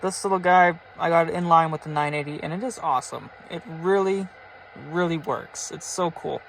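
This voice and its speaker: Male hobby vlogger, high-pitched and keen, informal show-and-tell delivery, faint noise behind. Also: normal speed, creaky, a bit bright, poor audio. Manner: enthusiastic, informal, chatty. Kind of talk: casual show-and-tell vlog. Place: in and around a pickup truck.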